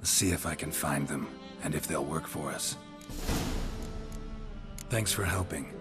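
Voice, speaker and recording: Deep, stoic monster hunter. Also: male voice, measured pace. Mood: dry, matter-of-fact. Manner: deadpan, laconic. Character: stoic, gruff male monster-hunting mercenary. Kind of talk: video game character acting. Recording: music behind.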